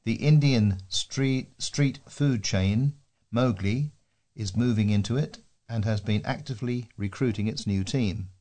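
A man's voice: medium pitch, unhurried, warm tone. A man reading a scripted local news feature aloud as a volunteer newsreader. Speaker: volunteer newsreader for a talking newspaper.

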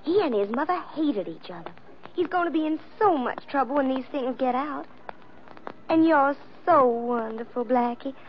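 An actress playing a sweet, fretful young Southern belle with an American Southern accent in a 1940s radio drama. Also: female voice, high-pitched, expressive, moderate pace. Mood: sweetly fretful. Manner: gushing, coy, effusive. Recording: dull, noisy old radio recording.

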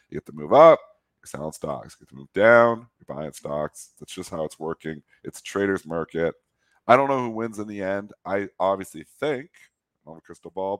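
A man's voice: low-pitched, dry recording. A man from Canada co-hosting a trading show, giving opinionated market commentary in a nasal voice, congested from a cold. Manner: opinionated, talks at length.

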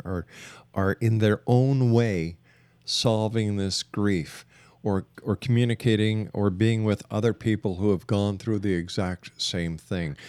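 A man hosting a talk radio interview, speaking in an emphatic, deliberate way and repeating phrases for emphasis. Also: on the low side, darkish tone, no echo. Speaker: radio show host.

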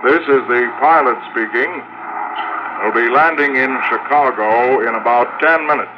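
A muffled vintage recording with clear background noise carries an actor as a male airline pilot. He gives formal, calm cabin announcements at a middling pitch, in 1950s radio drama style. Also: unhurried, close to an everyday voice. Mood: calm, official. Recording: dull noisy vintage radio, engine drone.

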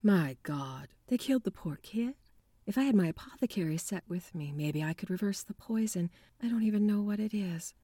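A woman's voice: mid-range voice, expressive, normal speed, dark tone, lightly acted. A woman playing a no-nonsense, matter-of-fact occult detective in a 1940s noir radio drama. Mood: shocked. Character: brisk, no-nonsense female detective with occult know-how.

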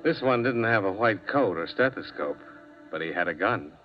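A tough, wry male investigator played by a radio actor in a 1950s detective drama. He is clipped and dry, with a lowish voice, on a muffled vintage recording. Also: expressive, normal speed, over music, close to an everyday voice. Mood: wry, narrating. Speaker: detective lead and narrator.

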